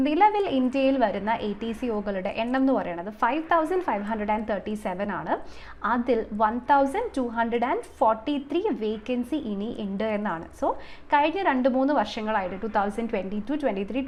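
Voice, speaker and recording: A woman's voice: a little high, a little mellow, slight background noise. A woman giving an explanatory exam coaching lesson, mixing in many English terms and numbers. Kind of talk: explanatory video lesson, semi-formal.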